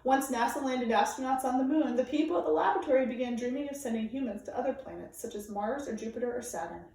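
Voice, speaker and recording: A woman reading a children's nonfiction book aloud in a measured, emphatic way, phrasing it for young listeners. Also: high-pitched, darkish tone. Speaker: storybook reader. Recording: very echoey room, distant microphone.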